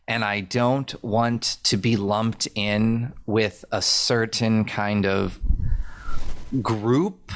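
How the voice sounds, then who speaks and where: A man co-hosting a podcast, losing his voice, talking hesitantly with many fillers and restarts. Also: medium pitch. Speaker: podcast co-host. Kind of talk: casual podcast chat.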